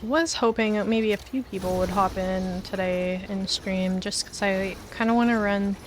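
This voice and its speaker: A woman's voice: mid-range voice, fairly even intonation, normal speed, slight background noise. A Canadian woman with a Canadian accent, streaming a game and chatting casually with many fillers. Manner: chatty, rambling, self-deprecating, many fillers; talks while eating. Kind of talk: casual livestream chat.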